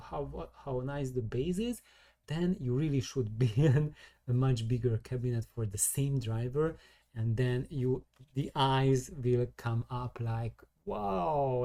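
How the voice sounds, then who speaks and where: A man's voice: medium pitch, warm tone. A man giving an informal technical explanation as a hobbyist presenter, circling back over his phrases and using salty slang. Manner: hesitant, repetitive, circling phrasing; colourful, mildly salty slang.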